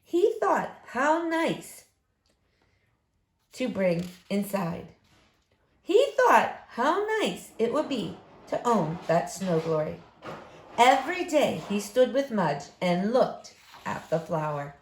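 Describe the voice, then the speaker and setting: A woman's voice: medium pitch, big pitch swings, takes it slowly. A woman reading a picture book aloud expressively to young listeners. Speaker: story reader for children. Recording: echoey room.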